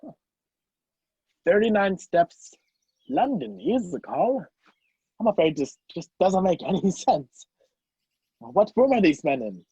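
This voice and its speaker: A man's voice: high voice, big pitch swings, slow pace, pauses often, dark tone, breathy edge, no echo, exaggerated voice. A man acting a wrongly accused, impatient man on the run in a radio play over a video call. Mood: baffled, exasperated. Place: video call, dry room. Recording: muffled video-call audio.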